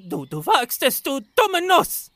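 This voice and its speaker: A self-important little gnome warlock, as a woman acts him out in a comic audio drama, forever demanding credit. His high voice leaps up and down as he whines and brags. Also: huge pitch swings, takes it slowly, a bit bright, no room sound, faint music behind, exaggerated voice. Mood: pompous. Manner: pompous and whiny. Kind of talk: amateur parody audio drama.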